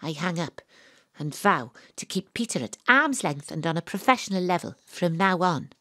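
A woman playing a gruff, dogged private detective who tells her own case in a dramatic, atmospheric audio drama delivery. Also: fairly low pitch, expressive, normal speed, bright, forceful tone, no room sound, studio quality. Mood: tense, hushed. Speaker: lead actress and narrator. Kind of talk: first-person audio drama narration.

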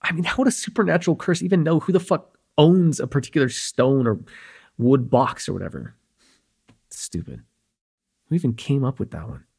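Man acting a breathy, cocky young male vampire. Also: mid-range voice, lively intonation, moderate pace, a little mellow. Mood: musing, playful. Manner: rambling, playful, slangy, hesitant asides. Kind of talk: immersive ASMR roleplay acting, casual and conversational.

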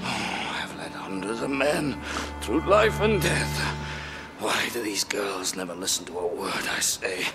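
A man's voice: lowish voice, animated, a little slow. A man playing an older clan leader and father in a game cutscene, speaking with earnest weight. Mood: exasperated. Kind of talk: dramatic video game cutscene acting. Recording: loud cinematic music behind.

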